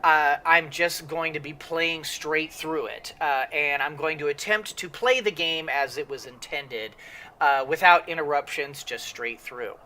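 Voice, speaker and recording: Man, gaming host, sniffly, rambling, slightly high voice, bright tone. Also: unhurried. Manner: rambling with many fillers and restarts. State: sniffly with a cold. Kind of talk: casual let's-play commentary.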